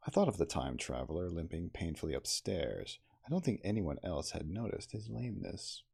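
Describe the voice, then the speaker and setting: A man with a soft, gravelly, husky voice giving a measured, expressive ASMR reading of a classic novel. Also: a bass voice. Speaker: audiobook-style reader.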